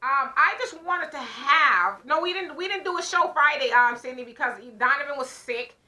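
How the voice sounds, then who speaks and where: A woman's voice: high voice, moderate pace. A woman co-hosting a casual talk show, animatedly telling a story and acting out both sides of a conversation. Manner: animated storyteller who acts out her own side of conversations. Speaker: podcast co-host. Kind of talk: casual chat.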